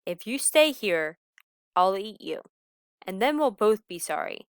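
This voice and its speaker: A woman voicing an exasperated but soft-hearted old male wolf in a storybook, with dramatic, groaning delivery. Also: mid-range voice, very animated, measured pace, clear, resonant tone, dry recording, clean studio sound. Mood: stern. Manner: dramatic, groaning and howling exclamations.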